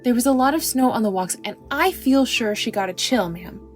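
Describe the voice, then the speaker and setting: A woman's voice: on the high side, animated, a little fast, soft background music, lightly acted. A woman voicing an anxious, devoted young maidservant girl in an audiobook, with an earnest, deferential, folksy rural way of talking. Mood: worried, confiding. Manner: rustic folksy dialect, deferential, breathlessly earnest. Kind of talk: audiobook character acting.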